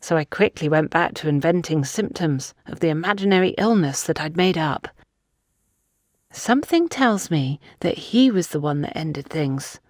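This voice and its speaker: A woman's voice: sits low, expressive, normal speed, no echo, studio quality. A woman voicing an insistent, gossipy older woman in an audiobook, emphatic and confiding. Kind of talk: audiobook character dialogue.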